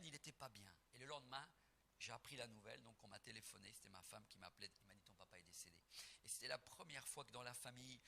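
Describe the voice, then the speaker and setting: Emphatic preaching man, very bright voice. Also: mid-range voice, gravelly. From Portugal. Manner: emphatic, rising to near-singing on key phrases; soft and reflective when telling family memories. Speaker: gospel singer and preacher. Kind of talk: preaching between songs at a concert.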